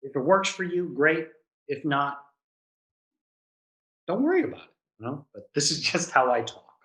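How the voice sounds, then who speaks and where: Man with a higher voice in an echoey room. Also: normal speed. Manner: relaxed, thoughtful, stammering restarts. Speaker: improv teacher, guest. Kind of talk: casual interview chat.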